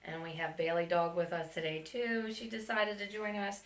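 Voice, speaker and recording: A woman's voice: on the low side, monotone, normal speed. Woman reading a storybook, echoey and distant. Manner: gentle, adds friendly remarks to young listeners. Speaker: school staff reading to students. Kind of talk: reading a picture book aloud with chatty asides. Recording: echoey room, far from mic, faint noise.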